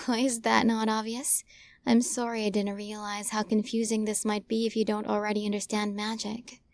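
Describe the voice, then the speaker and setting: Slow, hushed possessive witch, acted by a woman. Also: on the high side, no room sound. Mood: smug, commanding. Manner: slow, coaxing, hushed, often slipping into whispers. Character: possessive, obsessive witch, sweetly menacing, adoring woman. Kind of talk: intimate ASMR roleplay. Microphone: close microphone, dry recording.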